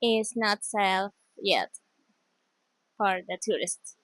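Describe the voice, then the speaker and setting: A woman from Venezuela with a Venezuelan accent, giving brief answers with soft laughs. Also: mid-range voice, slow, no room sound. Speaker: interviewee.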